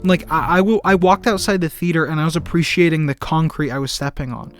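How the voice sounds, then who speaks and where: A man's voice: fairly high pitch, steady tone, a little fast, soft background music. A young man giving a casual film review. He talks earnestly and enthusiastically and often repeats words and restarts his sentences.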